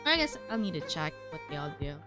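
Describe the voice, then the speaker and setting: A woman's voice: deep voice, big pitch swings, fast talker. A woman streamer giving casual, chatty gaming commentary with a playfully sarcastic manner. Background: loud game music.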